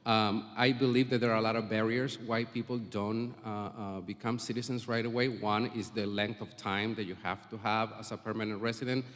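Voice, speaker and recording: A man's voice: lowish voice, little pitch movement, a little fast, hardly any pauses, a bit bright. A man testifying at a public hearing, earnest and full of filler sounds. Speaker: community advocate testifying. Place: public hearing in a school building. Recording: long reverb of a hearing hall.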